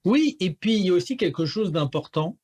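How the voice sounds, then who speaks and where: A man's voice: high-pitched, animated, fairly quick, darkish tone. A man giving analysis as a guest pollster in a radio interview, explaining things with frequent stammered repeats.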